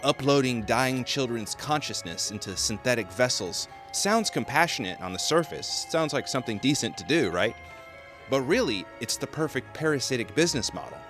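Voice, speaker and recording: A man's voice: medium pitch, animated, moderate pace, music in the background. A man delivering scripted video-essay commentary with casual asides.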